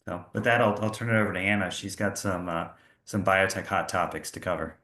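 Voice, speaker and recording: A man's voice: low-pitched, steady tone, a little fast, pushed and bright. A man working as a financial analyst, presenting a market outlook on a call. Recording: roomy, echoey room sound.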